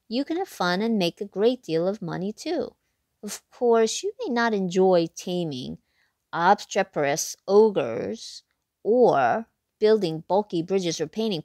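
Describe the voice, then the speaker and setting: Expressive woman reading a picture book aloud. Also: no room sound, studio quality. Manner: expressive storybook reading with big excited pitch jumps. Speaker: read-aloud host.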